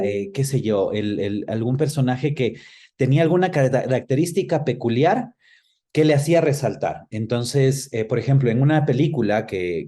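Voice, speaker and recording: A man's voice: medium pitch, dark tone. A man with an Ecuadorian accent reminiscing in a conversational interview, explaining things and often adding small tag questions. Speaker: interview guest, actor.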